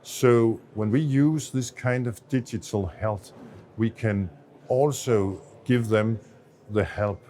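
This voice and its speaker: Low-voiced Danish man explaining. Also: slow, dark tone. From Denmark. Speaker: associate professor of medicine, expert interviewee. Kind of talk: explanatory interview answers on a medical project.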